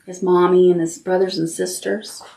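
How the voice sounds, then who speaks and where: A woman's voice: on the low side, level tone, normal speed, vocal fry, mellow, rough recording. A woman hosting a toddler storytime as a children's librarian, reading aloud expressively in simple child-directed language with little asides.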